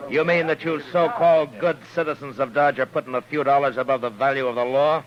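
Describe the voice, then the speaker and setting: An actor playing a stern, principled frontier marshal in a 1950s radio western, speaking firmly and tersely. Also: male voice, mid-range voice, fairly even intonation, fast, a crowd in the background, lightly acted. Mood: indignant. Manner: firm, terse, authoritative. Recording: dull, noisy old radio recording.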